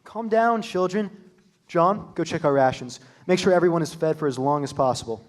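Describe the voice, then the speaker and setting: Student doing a stuffy self-important dean, slightly high voice. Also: male voice, expressive, a little fast, close to an everyday voice. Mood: pompous. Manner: formal, pompous. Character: pompous middle-aged male school dean. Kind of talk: staged musical reading.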